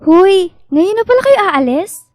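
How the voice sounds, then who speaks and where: A woman's voice: very high voice, big pitch swings, a little slow, darkish tone, dry recording, studio quality, heavily acted. A woman playing a cheerful, loyal young girl, bubbly and reassuring, in a radio drama. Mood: affectionate. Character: Jerzella, cheerful devoted young girl, loyal best friend. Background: music.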